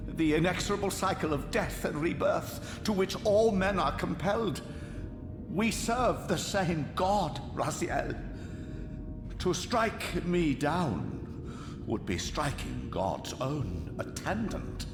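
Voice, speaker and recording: A scheming, obsequious old prophet in a dark game cutscene. His aged voice is rather high and swoops theatrically, drawing out pious, oily phrases. It echoes in long reverb over music. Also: very animated, takes it slowly, full character voice. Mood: pious, cunning. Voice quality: creaky, aged. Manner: oily, pleading, grandiloquent, swooping. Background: game score.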